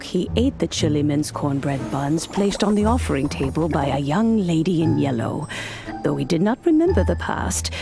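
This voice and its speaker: Calm, low-voiced ancient sage. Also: female voice, gravelly, full character voice. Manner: measured, solemn, reflective storytelling. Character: calm wise ancient woman, old friend of gods. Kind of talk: scripted narration, game cutscene. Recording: music behind the voice. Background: music.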